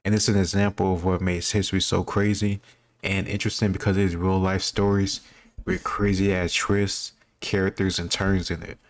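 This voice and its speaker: A man's voice: deep voice, little pitch movement, moderate pace. A man speaking informally as a host, reacting casually and thinking aloud as he answers quiz questions.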